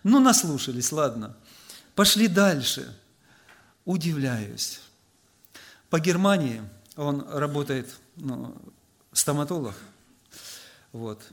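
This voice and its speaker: A man's voice: on the high side, animated, slow, long pauses. Male preacher, tenor-ish, informal storytelling delivery, leans on fillers. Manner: conversational, anecdotal, frequent filler "vot". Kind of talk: storytelling sermon to a congregation.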